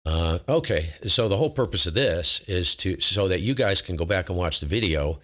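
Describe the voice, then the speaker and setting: A man with a very low voice hosting a casual ham radio livestream, talking informally and self-deprecatingly, often wandering mid-sentence. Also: lively intonation, moderate pace, fairly bright tone. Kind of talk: casual technical talk to a live chat.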